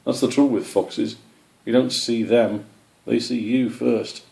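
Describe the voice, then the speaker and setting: A man's voice: medium pitch, fairly even intonation, measured pace, vocal fry, warm tone, voice pushed up. A man voicing a fearful, grim rabbit in a children's story, with a gloomy delivery. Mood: grim, wary. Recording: roomy, echoey sound.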